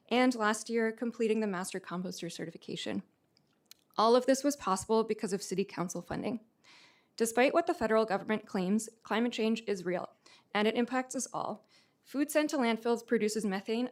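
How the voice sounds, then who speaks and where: A woman reading prepared testimony at a council hearing in an earnest, measured way. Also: fairly high pitch. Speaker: public testifier, master composter. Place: city council hearing room.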